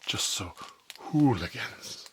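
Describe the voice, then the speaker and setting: A man playing a stern, pompous school principal in a whispered ASMR role play, scolding pupils in halting, drawn-out phrases. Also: on the low side, expressive, noisy background. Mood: stern, accusing. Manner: pompous, halting, drawn-out, repeats words for emphasis.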